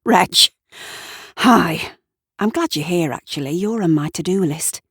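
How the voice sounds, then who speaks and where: A woman's voice: on the low side, measured pace, slightly rough, dry recording, studio quality. Woman acting a flustered, easily startled muttering man. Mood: relieved, chummy. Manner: muttering, exclaiming, talking to himself and his dog.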